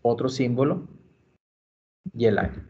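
A man's voice: mid-range voice, lively intonation, dark tone. A man from Mexico patiently explaining computer steps in a screen-recorded library tutorial. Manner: slow, patient, step-by-step explaining. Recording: dull lo-fi screencast audio.

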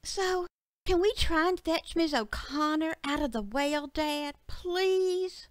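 A woman's voice: very high-pitched, slow, no echo, clean studio sound, pitched above the performer's own voice. A woman voicing an earnest, imaginative little country girl who rambles breathlessly and pleads with her father in an audio story. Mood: pleading. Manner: childlike, breathless rambling with "like" and "see".

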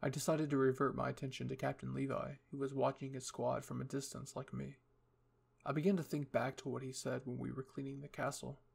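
A man's voice: mid-range voice, flat delivery, brisk, vocal fry, darkish tone, breathy edge. A man reading a scripted story in the first person as its narrator. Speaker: fan fiction reader.

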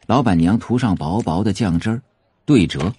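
A man's voice: on the low side, lively intonation, moderate pace, warm tone, slightly rough, dry recording. A man reading scripted narration for an audio drama, telling the story as a novel's narrator. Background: faint music.

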